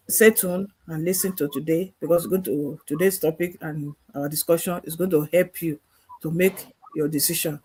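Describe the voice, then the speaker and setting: A woman from Nigeria with a Nigerian accent, giving a halting self-introduction on a livestream and restarting her sentences. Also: low voice, breathy edge, low-quality recording. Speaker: panel guest.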